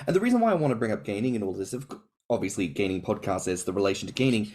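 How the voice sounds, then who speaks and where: A man's voice: on the low side, expressive, very fast, a little mellow. A man in a casual podcast chat, talking expressively with big swings and emphatic stresses. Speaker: podcast co-host or guest.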